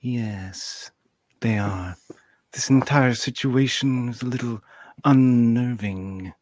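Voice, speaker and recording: A man's voice: medium pitch, takes it slowly, darkish tone, no room sound, close to an everyday voice. A man playing a troubled, thoughtful female starship counselor in an amateur sci-fi radio play, speaking hesitantly. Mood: uneasy, tender.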